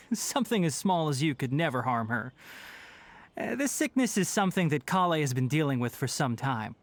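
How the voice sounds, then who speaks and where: An actor playing a calm, scholarly young male forest ranger in a video game, speaking in an articulate, measured way. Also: on the high side, dry recording. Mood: calm, reassuring. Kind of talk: scripted video game dialogue. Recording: music behind the voice.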